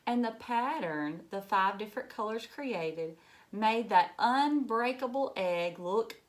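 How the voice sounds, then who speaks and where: A woman reading a children's picture book aloud in an expressive, emphatic way, with asides and questions to young listeners. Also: medium pitch, takes it slowly.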